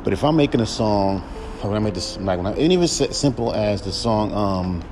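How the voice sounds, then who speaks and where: A young man with a droll baritone voice, ranting bluntly and profanely on his solo podcast. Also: animated, fairly quick, background noise. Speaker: podcast host and rapper.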